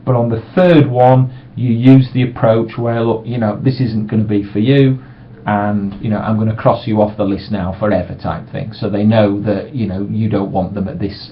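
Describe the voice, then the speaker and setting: A middle-aged man, giving a casual, reassuring sales training talk from a small room. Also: lowish voice. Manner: relaxed, reassuring, acts out sample voicemails brightly. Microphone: webcam microphone. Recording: dull lo-fi webcam audio.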